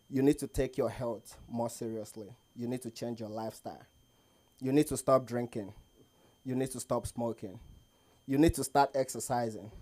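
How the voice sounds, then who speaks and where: A man with a Nigerian accent telling stories as a stand-up comedian, deliberately, at an open mic. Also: mid-range voice, mellow. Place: small open mic comedy show with audience.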